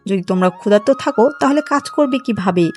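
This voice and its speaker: A woman's voice: mid-range voice, animated, brisk, faint music behind, lightly acted. A woman voicing a kindly, generous king in a cartoon folk tale, benevolent and approving.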